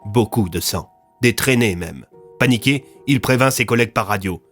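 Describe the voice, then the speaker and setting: A man's voice: on the low side, moderate pace, fairly bright tone, faint music behind. A man narrating an audio fiction series in a scripted, dramatic storytelling style.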